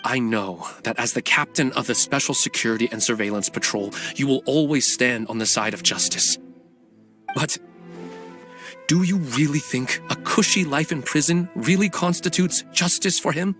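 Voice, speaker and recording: An actor playing a brooding, calculating novelist bent on revenge, speaking deliberately and persuasively in a dramatic game cutscene. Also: male voice, mid-range voice, a bit bright, lightly acted. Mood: intense, persuasive. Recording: faint music behind the voice.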